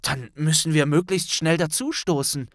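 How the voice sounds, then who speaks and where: A man's voice: on the high side, moderate pace, dry recording, studio quality, pitched above the performer's own voice, natural voice. A man playing an earnest, troubled teenage wizard in an audiobook, with an intent, questioning manner. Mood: puzzled, intent. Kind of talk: audiobook character dialogue.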